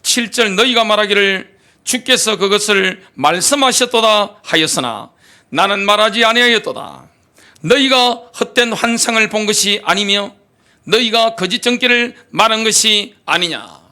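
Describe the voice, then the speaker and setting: A man's voice: sits high, animated, normal speed, sharp tone. A middle-aged man preaching a sermon as pastor of a church, emphatic, asking the congregation rhetorical questions. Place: church sanctuary.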